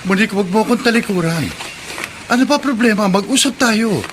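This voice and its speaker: Confused young boyfriend pleading in radio drama, really high voice, noisy background. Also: male voice, lively intonation, fairly quick. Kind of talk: Filipino radio drama acting.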